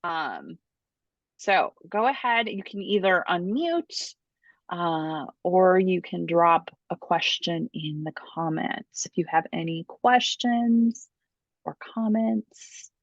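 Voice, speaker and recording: Gravelly-edged woman hosting a casual class. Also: fairly low pitch, animated, slow, dry recording. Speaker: host of a book publishing Q&A class. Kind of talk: casual online class talk. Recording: dull lo-fi video call audio.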